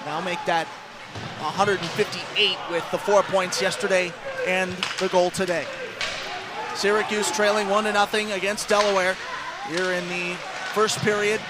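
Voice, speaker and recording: A man giving live ice hockey radio commentary as play-by-play announcer, excitable and ready to raise his voice on chances. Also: sits high, heavy background noise.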